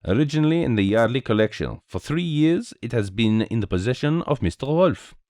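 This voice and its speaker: A dapper little detective played by a man reading aloud in a storybook mystery. He speaks, courteous and theatrical, his pitch swinging freely. He sounds cheerful and very sure of himself, in a dry, close sound. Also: expressive, brisk, a little mellow, no echo, lightly acted. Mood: knowing, animated. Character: small Belgian male detective, cheerful, courteous, self-assured.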